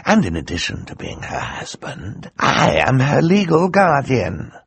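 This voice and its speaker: A man's voice: medium pitch, huge pitch swings, unhurried, gravelly, breathy edge, no echo, voice pushed up, full character voice. A man voicing a scheming, theatrical male villain who gloats and mocks, in an audiobook. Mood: smug. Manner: gloating, grand and mocking.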